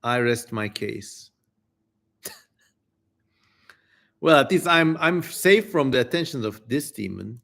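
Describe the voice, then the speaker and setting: A man hosts a dramatic reading livestream at a leisurely pace. His mid-pitched voice moves between grand, theatrical recitation and loose, joking commentary to his viewers. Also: lively intonation, slow, vocal fry, pauses often, a bit bright. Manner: theatrical, jokey, informal swearing. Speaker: streamer, host.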